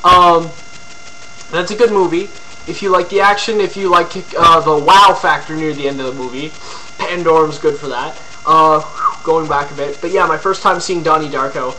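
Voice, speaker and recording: A man's voice: fairly high pitch, steady tone, normal speed, pushed and bright, slight background noise, low-quality recording. A young man from Ontario, rambling casually to his webcam with lots of fillers and crude jokes. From Ontario, Canada. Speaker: vlogger. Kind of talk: casual rambling video blog.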